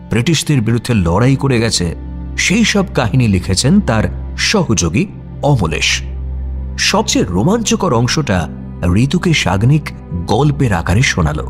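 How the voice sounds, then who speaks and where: A male narrator reads a scripted story expressively, with a mid-range voice. His intonation rises and falls widely, and soft music runs underneath. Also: mid-range voice.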